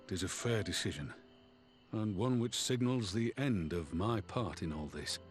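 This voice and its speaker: An actor playing a proud, loyal middle-aged general in a fantasy game, speaking with solemn, formal, archaic phrasing. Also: male voice, lowish voice, lively intonation, normal speed, close to an everyday voice. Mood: solemn, reflective. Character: grave, loyal veteran general, proud and honorable. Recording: orchestral music behind the voice.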